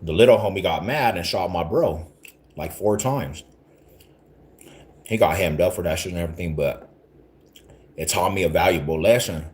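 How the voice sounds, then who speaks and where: Deep-voiced man in his forties, rambling street talk. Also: normal speed, pauses often, darkish tone. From Denver, Colorado. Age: 40s. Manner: rambling, repeats himself, street slang. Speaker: livestream host talking to viewers. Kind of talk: casual street-talk livestream.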